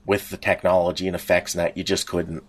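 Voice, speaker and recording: A middle-aged man co-hosting a podcast, chatting and reminiscing casually, piecing his thoughts together in a halting way. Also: deep voice, flat delivery. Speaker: podcast co-host. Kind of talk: casual chat, personal reminiscing.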